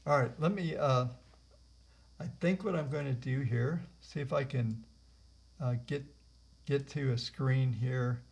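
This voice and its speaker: A man hosting and leading a live software training webinar over a video call, rambling with many fillers and restarts. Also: medium pitch, a little slow, long pauses. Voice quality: gravelly. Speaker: host and trainer. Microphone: computer mic on a video call.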